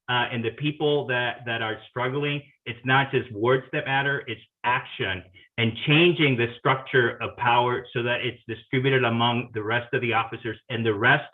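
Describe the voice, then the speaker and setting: A man from Guatemala answering as a party officer candidate at a forum, firm and determined, with frequent fillers. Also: mid-range voice. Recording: tinny phone-like video call line.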